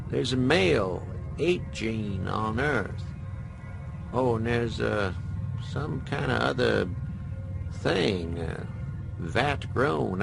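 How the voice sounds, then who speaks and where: An actor playing a wry, crusty veteran hacker in a radio drama, folksy and sardonic. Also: male voice, mid-range voice, vocal fry, exaggerated voice. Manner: folksy drawled phrasing, sardonic.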